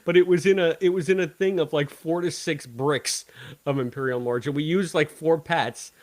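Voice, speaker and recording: A man in a relaxed podcast conversation as co-host. He speaks at a middle pitch with animated emphasis, drifting into tangents as he makes his case. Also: normal speed. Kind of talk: casual fan discussion.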